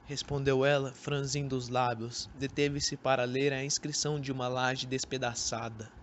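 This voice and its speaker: A man's voice: mid-range voice, little pitch movement, normal speed. A young man reading scripted literary narration for an amateur radio drama. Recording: noisy amateur recording.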